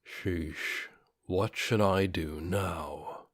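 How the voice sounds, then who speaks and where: Man voicing a low, hushed skeletal undead lord. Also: fairly even intonation, breathy edge, no echo, clean studio sound, exaggerated voice. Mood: weary muttering. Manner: muttering, measured. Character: skeletal undead lord, weary, privately unsure ruler. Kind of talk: audiobook character voice.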